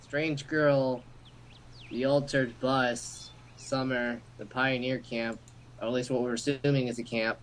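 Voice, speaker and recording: Guy reading game lines with rambly joke asides, normal pitch, noisy home setup. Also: level tone, a little slow. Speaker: amateur voice-over player in a group. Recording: noisy amateur home recording.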